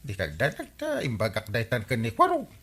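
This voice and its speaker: Wildly swooping, blustering village captain. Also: male voice, medium pitch, wildly swooping pitch, fairly quick, fairly bright tone. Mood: bossy. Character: blustering, wheedling comic male village captain. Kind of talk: Ilocano radio comedy drama acting.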